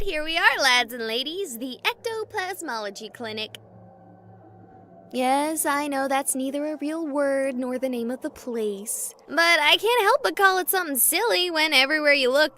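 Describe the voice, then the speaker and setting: A woman playing a goofy, excitable young livestreamer in a visual novel, chatting playfully with exaggerated enthusiasm. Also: sits high. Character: excitable, goofy young female livestreamer exploring haunted places.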